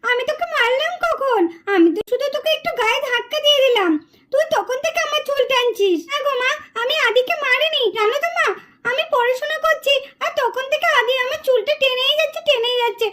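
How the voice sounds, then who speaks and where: A woman's voice: extremely high pitch, fairly quick, fairly bright tone. A woman voicing a young girl, an older sister who whines and pleads. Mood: pleading. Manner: whining, pleading.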